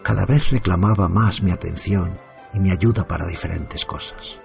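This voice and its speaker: Un hombre interpreta a un padre atormentado que narra un relato de terror en primera persona, con tono sombrío, medido y dramático. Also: male voice, sits low, creaky, faint music behind. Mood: subdued, gloomy. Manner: somber, measured, dramatic. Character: tormented father haunted by evil, telling his story. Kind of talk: first-person horror narration.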